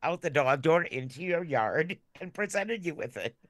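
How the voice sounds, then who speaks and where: A woman co-hosting a podcast, chatting casually through jokey anecdotes and acting out little quotes in an animated way. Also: low voice, moderate pace, no room sound, studio quality. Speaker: podcast co-host.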